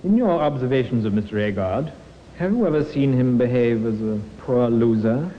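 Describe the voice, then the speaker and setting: An actor playing an earnest defence barrister in an old radio courtroom drama, formal, precise and persistent. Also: male voice, medium pitch, animated, normal speed, lightly acted. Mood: probing. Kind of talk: 1950s radio drama acting. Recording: muffled, hissy old radio recording.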